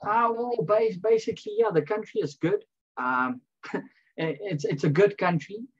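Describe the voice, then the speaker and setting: A man's voice: high-pitched, measured pace, husky. Young South African man on a muffled call. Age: young adult. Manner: relaxed, friendly, hesitant with fillers. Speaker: exchange student. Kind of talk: casual video call chat. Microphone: video call. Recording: dull lo-fi call audio.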